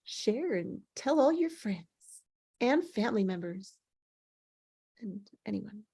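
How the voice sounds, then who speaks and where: A woman's voice: medium pitch, sing-song, slow, creaky, dark tone, husky. A woman hosting an online table read in casual, slightly scattered chat over a video call.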